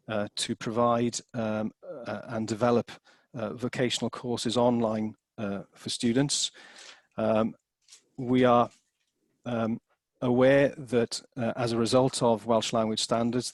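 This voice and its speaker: A man with a low, level voice delivers a formal presentation over a video call. He hesitates often and restarts his phrases. The sound is dry and close. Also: no room sound. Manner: hesitant, many fillers and restarts. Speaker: presenter at an annual meeting.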